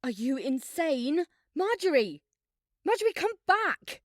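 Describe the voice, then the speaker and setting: A woman's voice: sits high, sing-song, slow, exaggerated voice. A woman acting as an alarmed, skeptical schoolgirl in a dramatic audio ghost story.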